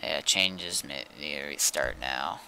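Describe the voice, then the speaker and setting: A man's voice: extremely low pitch, unhurried, vocal fry, noisy background. A man in casual conversation, speaking tersely and informally as a game streamer. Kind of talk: casual chat.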